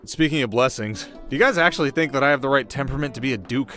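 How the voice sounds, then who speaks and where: A man playing an earnest, playful young warrior in an audio drama, joking and quick to get defensive. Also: mid-range voice, fairly bright tone, faint music behind, lightly acted. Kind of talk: comic audio drama acting.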